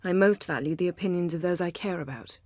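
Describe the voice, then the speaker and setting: Haughty sorceress for a fantasy game , played by a woman: lowish female voice, imperious, cutting, wry and formal, guarded yet devoted; very dry studio sound. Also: fast, a little mellow, no room sound, close to an everyday voice. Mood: composed, earnest. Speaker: video game character. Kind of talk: acted video game dialogue.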